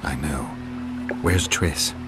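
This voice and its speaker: Gruff witcher, extremely low voice, terse, stoic, restrained, fantasy game, faint music behind. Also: slow. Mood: grave concern. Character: gruff stoic monster-hunting male witcher. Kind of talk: video game voice acting.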